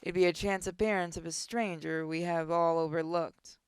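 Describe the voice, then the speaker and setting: A narrator reading visual novel text aloud in a measured, dramatic way over game music. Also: medium pitch, fairly even intonation, smooth, no room sound. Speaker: gaming channel narrator.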